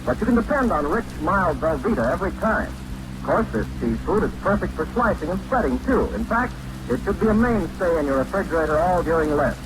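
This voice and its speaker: A man's voice: sits high, big pitch swings, a little fast, background noise. A man with an American accent announcing and bantering in scripted 1940s radio style on a vintage recording.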